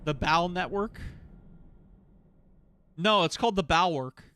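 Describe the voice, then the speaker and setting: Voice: mid-range voice, expressive, a little slow, pushed and bright, clean tone. A game streamer chatting casually and jokingly with viewers, playful and given to swearing. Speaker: game livestreamer.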